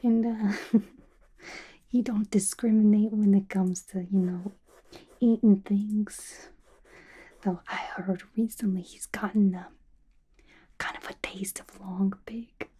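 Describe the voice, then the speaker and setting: A woman voicing a playful, gossipy villain locked in an asylum, in a breathy, chatty, confiding ASMR roleplay. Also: mid-range voice, fairly even intonation, takes it slowly, long pauses, dark tone. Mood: teasing, conspiratorial. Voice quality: breathy, whispery. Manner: chatty, confiding, casual slangy talk.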